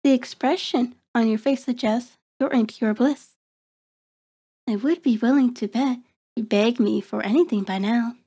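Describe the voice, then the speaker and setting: Woman playing a slow, soft-spoken seductive vampire. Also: a little high. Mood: coaxing, teasing. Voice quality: soft, breathy, often dropping to a whisper. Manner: slow, deliberate, coaxing, controlling. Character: seductive, predatory female vampire, commanding and condescending. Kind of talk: ASMR roleplay.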